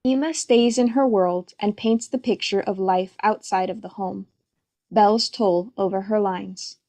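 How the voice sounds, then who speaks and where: A woman's voice: mid-range voice, fairly even intonation, unhurried, mellow. A woman reading stage directions as scripted, present-tense narration for a play.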